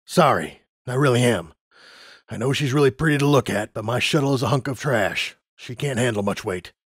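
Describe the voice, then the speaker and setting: A man's voice: medium pitch, animated, moderate pace, breathy edge, dry recording, clean studio sound. A man voicing a nervous, self-serving male griffin pilot in a pony storybook. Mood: reluctant.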